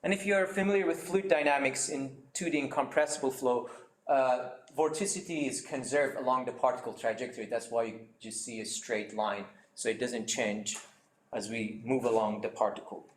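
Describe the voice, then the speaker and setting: A man's voice: mid-range voice, moderate pace, roomy sound. A man giving an academic seminar talk over a video call, explaining slides with frequent fillers and restarts. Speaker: seminar speaker.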